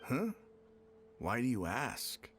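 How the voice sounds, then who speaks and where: Offhand, animated gate guard. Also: male voice, mid-range voice, slow pace, faint music behind. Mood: offhand, wary. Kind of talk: anime game voice acting. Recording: faint background ambience noise.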